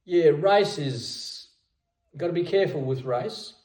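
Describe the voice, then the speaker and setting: A man's voice: fairly high pitch, a little slow, darkish tone. Male tutor, patient and repetitive, recorded in a roomy space at a distance. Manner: patient, repeats words, stammering restarts. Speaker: English tutor. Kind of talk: casual one-on-one language lesson.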